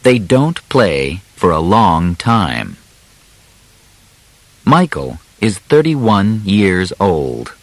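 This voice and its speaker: Male audiobook narrator, lowish voice with lively intonation, measured short phrases, simple scripted read. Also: dry recording.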